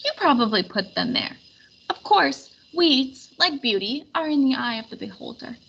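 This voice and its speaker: A woman's voice: sits high, very animated, moderate pace, creaky, a bit bright, husky, highs cut off, exaggerated voice. A woman voicing a fussy, determined little female daisy in a storybook, complaining in an emphatic, particular way. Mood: matter-of-fact, proud.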